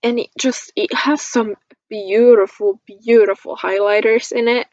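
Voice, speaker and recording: Woman, beauty vlogger, casual chat, gushing and giggly, slow pace, dry and slightly muffled recording. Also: fairly high pitch, steady tone, darkish tone, no echo. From Finland. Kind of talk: casual product review chat to camera.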